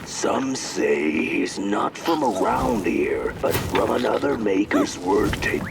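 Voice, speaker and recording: Gravelly, low, mysterious storyteller. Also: male voice, animated, slow, distant mic, heavy background noise, exaggerated voice. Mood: hushed, mysterious. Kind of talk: scripted storytelling narration.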